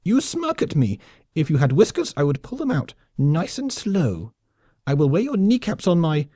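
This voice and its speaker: A man's voice: wildly swooping pitch, mellow, no room sound, clean studio sound. A man voicing a furious, vicious male lemming colonel who shrieks and hisses his threats. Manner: shrill, hissing, drawn-out threats.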